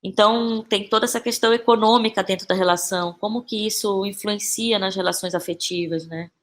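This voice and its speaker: Woman, thirties, Brazilian accent, medium pitch, conversational podcast guest, reflective, halting, repeats herself. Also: steady tone, a bit bright. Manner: reflective, halting, repeats phrases while thinking. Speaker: interview guest, playwright and actress.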